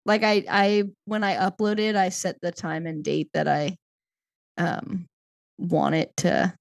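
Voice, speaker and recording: A woman's voice: on the low side, steady tone, slow pace, vocal fry, dry recording. A woman co-hosting a casual podcast, chatting in a rambling way with lots of "like", her voice a little worn. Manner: rambling, heavy use of "like". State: losing her voice. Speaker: podcast co-host. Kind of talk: casual chat.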